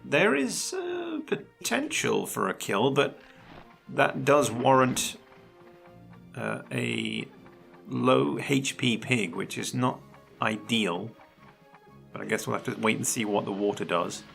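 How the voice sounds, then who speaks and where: A man commentating a video game match live, chatty and analytical, his voice a little croaky. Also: mid-range voice, very animated, slow, a bit bright, soft background music. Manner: chatty and analytical, laughs often. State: slightly croaky voice. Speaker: esports commentator.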